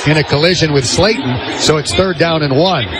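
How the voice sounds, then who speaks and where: A man's voice: on the high side, moderate pace, a crowd in the background, noisy background. A man doing live play-by-play football commentary on the radio as the announcer.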